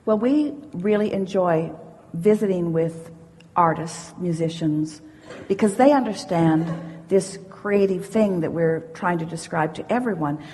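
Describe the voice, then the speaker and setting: A woman giving a motivational spiritual talk as a teacher, speaking as "we", emphatic and deliberate, repeating phrases for emphasis. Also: lowish voice, warm tone, breathy edge. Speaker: spiritual teacher answering audience questions. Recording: echoey hall with long reverb.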